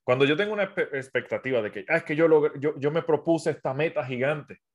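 A man from Puerto Rico with a Puerto Rican accent softened by years in South America, giving business advice on a casual live stream, talking straight to his viewers with many fillers and restarts. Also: a little high, fast talker, highs cut off. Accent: Puerto Rican Spanish with a South American mix. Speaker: entrepreneur and business coach. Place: at home, phone on a tripod.

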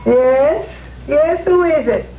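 A woman's voice: high voice, slow, music in the background. An actress playing a cranky, defensive old woman with a querulous, indignant manner in a 1970s radio drama. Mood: irritated. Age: older. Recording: muffled, noisy 1970s radio recording.

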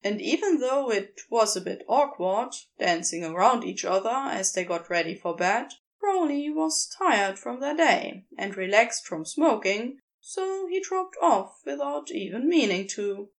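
A woman reading scripted fiction as a narrator, in an expressive storytelling style. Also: on the high side, measured pace, hardly any pauses. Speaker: podfic narrator.

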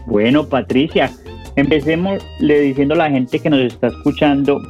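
A man's voice: a little high, moderate pace, a little mellow, soft background music. A Colombian man hosting a podcast, chatting informally and asking friendly questions. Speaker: podcast host. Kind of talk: casual interview chat. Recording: dull, dry lo-fi sound.

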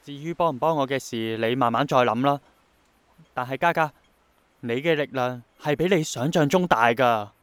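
Man acting as idealistic young dreamer, Hong Kong accent, gentle, reflective, radio drama delivery, mid pitch, very dry recording. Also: moderate pace, clean tone, no room sound, close to an everyday voice. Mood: gentle, encouraging. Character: idealistic, persistent young man longing for rain to return.

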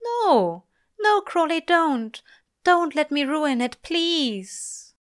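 A woman's voice: big pitch swings, no echo, clean studio sound, heavily acted. A woman voicing an anguished, innocent male angel with a plaintive, pleading way of speaking, in an audiobook. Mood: anxious pleading. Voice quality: high, plaintive. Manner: moaning, drawn-out pleading.

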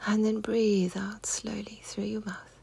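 A woman leading a guided meditation in a soft, husky, near-whispered voice, giving gentle, unhurried, soothing instructions. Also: mid-range voice, little pitch movement.

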